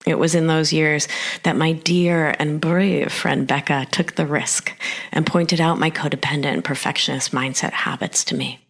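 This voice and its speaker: A woman in her forties, born in Argentina, softly reading a reflective personal story aloud with a breathy delivery. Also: low-pitched, steady tone, moderate pace. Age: 40s. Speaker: podcast storyteller.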